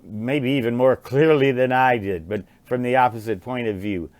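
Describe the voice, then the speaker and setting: An American man in his sixties, musing aloud in a halting, rambling monologue and often repeating words. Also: medium pitch, a little mellow, no echo. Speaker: vlogger, writer and ham radio hobbyist.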